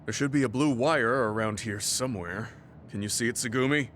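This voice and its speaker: An actor playing a steady, protective, experienced soldier in an anime game, measured and modest. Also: male voice, medium pitch, slight background noise, natural voice. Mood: steady, concerned.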